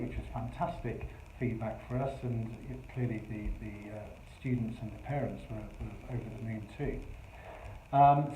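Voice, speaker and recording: A man who teaches Spanish explains his workshop earnestly, winding through long sentences broken by ums. His voice is mid-pitched and warm rather than bright. The recording has a noticeable room echo with faint ambience behind. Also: slight background noise. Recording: echoey room.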